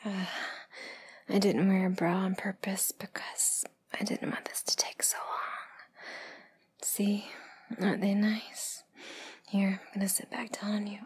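A woman whispering in a breathy, sultry, teasing voice in an intimate adult roleplay audio, with moans between phrases. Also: medium pitch, level tone, unhurried, pauses often, darkish tone.

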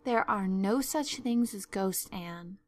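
Woman acting older woman, stern, sensible, brisk, sarcastic, no-nonsense storybook guardian. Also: medium pitch, darkish tone. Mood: incredulous, scolding. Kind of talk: storybook narration.

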